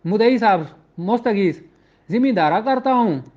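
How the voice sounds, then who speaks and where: A man's voice: high-pitched, lively intonation, unhurried, muffled recording. A man with a Pakistani Punjabi accent giving an informal, explanatory law lecture in a conversational, questioning manner. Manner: conversational, poses rhetorical questions, switches into English legal terms. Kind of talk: informal explanatory lecture with examples, reading out statute slowly.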